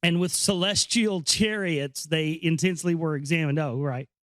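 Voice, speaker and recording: A man hosting an informal read-aloud, explaining and commenting in a halting, conversational way. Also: fairly high pitch, a bit bright, dry recording. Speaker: host reading a book aloud with commentary. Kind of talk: informal reading with off-the-cuff commentary.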